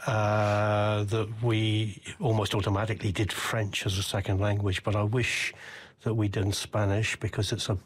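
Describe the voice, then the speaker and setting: A man's voice: on the low side, flat delivery, moderate pace, breathy edge. An older man from the UK, interviewing a guest with rambling, reflective questions. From United Kingdom. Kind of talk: conversational interview.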